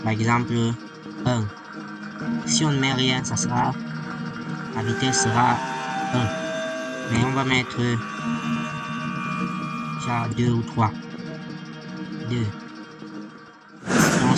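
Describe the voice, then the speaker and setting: Distant male tutorial voice over loud music. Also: medium pitch. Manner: hesitant, halting step-by-step explanation. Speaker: programming tutorial presenter. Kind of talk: screencast tutorial, thinking aloud while coding.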